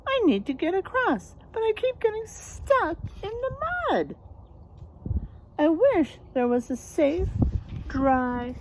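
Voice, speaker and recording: Lady doing a worried little storybook dog, high and swoopy, drags words out, faint noise. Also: big pitch swings, slow pace, exaggerated voice, rough recording. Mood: plaintive.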